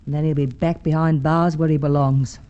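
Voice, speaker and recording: An actress playing a bitter, hard-bitten criminal's wife with an Australian accent, offhand and plain-spoken in golden age radio drama style. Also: female voice, deep voice, little pitch movement, normal speed, dark tone, natural voice. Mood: offhand, resentful. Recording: dull vintage radio drama recording.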